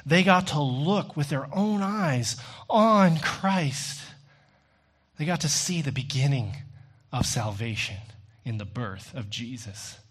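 A man preaching a sermon in an earnest, deliberate manner with rhetorical phrasing. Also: medium pitch, animated, breathy edge.

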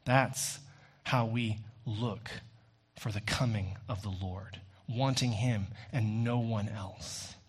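A man preaching a sermon in an earnest, deliberate manner with rhetorical phrasing. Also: fairly low pitch, steady tone, slightly rough.

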